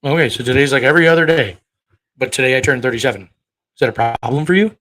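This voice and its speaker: A man's voice: mid-range voice, fairly bright tone. Thirties male livestream preacher, mid-range voice, combative and profane, fires rhetorical questions. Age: 30s. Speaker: online preacher. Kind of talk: livestream talk answering chat.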